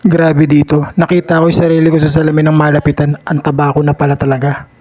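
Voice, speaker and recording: Filipino guy doing vlog voiceover, voice a bit on the high side. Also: completely flat delivery, fast talker, darkish tone. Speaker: vlogger. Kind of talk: voice-over narration of a shopping vlog.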